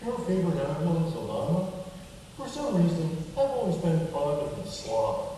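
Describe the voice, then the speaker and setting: A man playing a kindly, patient male puppet in a children's church show, explaining things gently and earnestly. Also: fairly high pitch, sing-song, normal speed. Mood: friendly. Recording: echoey hall from far off, faint noise.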